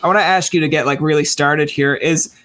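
A man with a fairly high voice hosts a casual interview. He talks informally, wandering through his questions and joking along the way. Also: fairly even intonation, brisk, over music. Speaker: talk show host.

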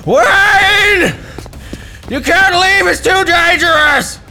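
Exasperated male lackey, crude grumbler, high-pitched with gravelly edge, suspense audio drama. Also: drawn-out words, bright, forceful tone, soft background music, heavily acted. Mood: shouting, urgent. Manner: sighing, grumbling, foul-mouthed.

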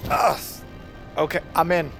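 An actor playing a casual, hesitant but willing guest in an audio drama, speaking in a halting, informal way. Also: male voice, a little high, flat delivery, vocal fry, a bit bright, soft background music. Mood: nervous, game.

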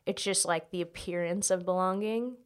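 Candid young woman with a lowish voice. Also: steady tone, moderate pace, clean tone. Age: late 20s. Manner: candid, filler-heavy, uses "like" constantly. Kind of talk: casual, confessional podcast chat.

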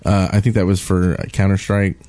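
A man's voice: deep voice, little pitch movement, brisk, mellow. A man chatting casually as co-host of a gaming podcast.

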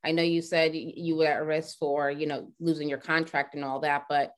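A woman's voice: deep voice, little pitch movement, normal speed. A woman hosting a conversational interview, affirming and asking probing questions. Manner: affirming, lots of mm-hmms, asks probing questions. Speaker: podcast host.

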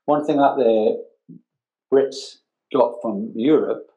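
An older man from northeast England with an English accent, talking casually as an interview guest, explaining haltingly and repeating words and phrases. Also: medium pitch, mellow. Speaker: interview guest, advertising art director. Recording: roomy, echoing sound.

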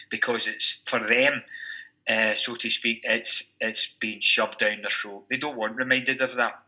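A man's voice: on the low side, pushed and bright, low-quality recording. A man, an academic, talking at length in a podcast discussion, with many restarts and asides. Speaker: university academic discussing football history.

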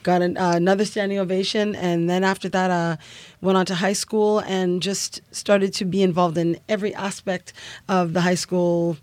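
A woman's voice: fairly low pitch, level tone, normal speed, darkish tone, no echo. A middle-aged woman from Canada, a singer telling her story in an interview, chatty with many fillers and laughs. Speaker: singer guest.

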